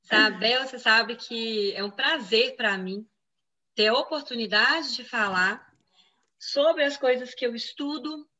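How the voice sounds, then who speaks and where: A woman's voice: fairly high pitch, measured pace, sharp tone, rough recording. A woman in her thirties with a Brazilian Portuguese accent from Minas Gerais, answering interview questions in a chatty way with frequent "né?" tags. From Venezuela, raised in Brazil. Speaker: physician guest.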